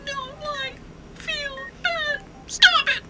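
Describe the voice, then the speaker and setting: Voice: extremely high pitch, steady tone, takes it slowly, very noisy. An actor voicing a telepathic unborn baby prodigy in a radio play, frantic and imperious, speaking in clipped, urgent fragments. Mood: distressed, pleading. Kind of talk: radio drama acting.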